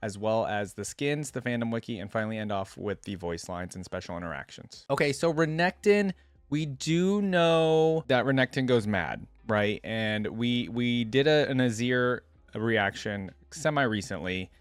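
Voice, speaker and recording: A man's voice: mid-range voice, lively intonation, moderate pace, smooth, no echo. A man hosting a reaction video, talking conversationally and thinking aloud as he comments and reads lore.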